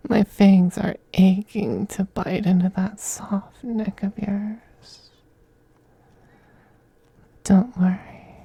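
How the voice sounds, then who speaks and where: A performer voicing a seductive, possessive male femboy vampire in an intimate ASMR roleplay, whispering softly and coaxing in a teasing, gently domineering way. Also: medium pitch, level tone, drawn-out words, pauses often, exaggerated voice. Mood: tender, teasing. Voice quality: breathy, soft whispering.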